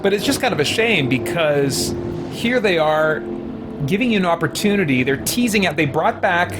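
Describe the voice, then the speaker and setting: A man's voice: fairly high pitch, animated, moderate pace, noisy background. A man giving casual, rambling and thoughtful gameplay commentary as a streamer. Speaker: gaming streamer.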